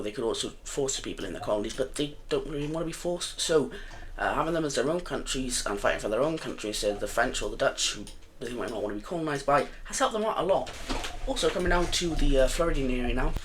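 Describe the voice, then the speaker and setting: A man with a croaky voice giving casual, rambling commentary over a map simulation, full of fillers and self-corrections. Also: mid-range voice, a bit bright, a little hiss or hum. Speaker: video host.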